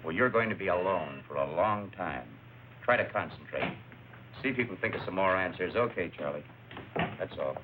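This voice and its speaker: A man's voice: low voice, lively intonation, normal speed. An actor playing a curt, world-weary narcotics investigator in a film noir crime drama, clipped and commanding, hesitating over his questions. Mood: cool, probing. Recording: muffled narrow-band old recording, faint noise.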